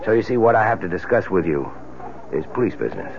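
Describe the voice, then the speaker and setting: A police lieutenant with an extremely low voice, persistent and deliberate. It is 1970s radio mystery drama, heard through a muffled broadcast with some hiss. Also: male voice, very animated, gravelly, heavily acted. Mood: measured, insistent. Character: insistent male New York police lieutenant trailing a woman.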